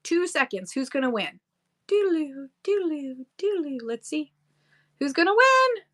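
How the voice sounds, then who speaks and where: Bright upbeat Canadian woman host. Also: high-pitched, sing-song, normal speed. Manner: upbeat, reads viewer comments aloud, frequent ums. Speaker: livestream seller host. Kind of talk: casual livestream chat reading comments. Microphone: phone.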